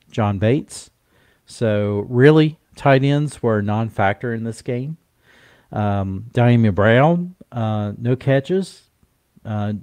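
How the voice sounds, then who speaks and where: A man with a stuffy, congested voice from a cold talks football in a slow, halting way. He fills every pause with fillers and restarts his sentences often. The sound is close and dry, with no room around it. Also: medium pitch, darkish tone, no room sound. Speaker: podcast co-host. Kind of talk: casual sports chat.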